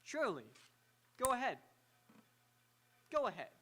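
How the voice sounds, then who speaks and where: A man playing a proud, excitable inventor doctor in old-time radio drama style, with fussy, precise technical diction. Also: very high voice, a little slow, breathy edge, full character voice. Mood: curious. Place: live on an auditorium stage.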